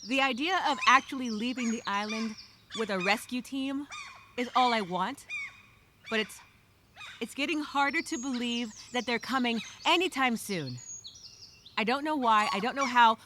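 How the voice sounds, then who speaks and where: A woman's voice: fairly high pitch, moderate pace, close to an everyday voice. A woman playing a frightened, exasperated castaway, talking through a journal entry in a theatrical, emphatic, rambling dramatic monologue. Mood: weary, scared, then frustrated, despairing. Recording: clear background noise with birdsong.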